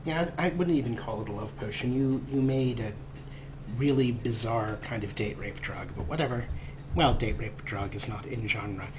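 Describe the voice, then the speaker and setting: A man's voice: medium pitch, normal speed, background noise. A man hosting a let's play with wry, amused, casual commentary.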